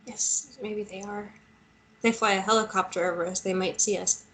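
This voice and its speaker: A woman's voice: medium pitch, fairly even intonation, moderate pace, fairly bright tone, natural voice. Casting: woman to play a chatty, playful young female lead with a vulnerable streak, casual delivery, romantic fantasy drama read. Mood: tired. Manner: casual, colloquial, teasing.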